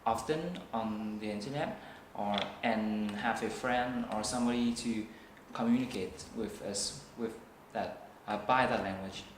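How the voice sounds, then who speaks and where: A young man with a Vietnamese accent, a student taking a practice speaking test, answering slowly with many hesitations and restarts. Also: lowish voice. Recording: echoey room, faint noise.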